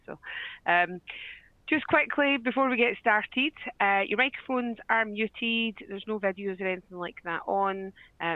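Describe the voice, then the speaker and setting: A woman's voice: medium pitch, no room sound. A woman hosting an online business webinar, chatty and full of fillers over a video call. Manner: chatty, many fillers and restarts. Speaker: webinar host. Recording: tinny, dry phone-like line.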